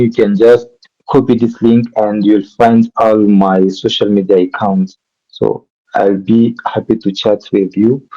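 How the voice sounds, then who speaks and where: Man, French accent, coding instructor, mellow tone, halting self-correcting delivery, slightly dull lo-fi recording. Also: medium pitch. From DR Congo. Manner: halting, often restarts and corrects himself mid-sentence. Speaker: workshop instructor. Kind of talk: live coding tutorial.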